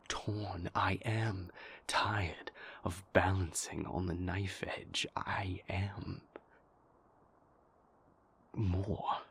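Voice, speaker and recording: A man playing a brooding, weary vampire in an intimate audio roleplay, with a breathy, creaky voice and halting, deliberate, formally worded confessions. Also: low-pitched, a bit bright, a little hiss or hum, full character voice. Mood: anguished, torn. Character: brooding, weary male vampire, a king's conflicted enforcer and lover.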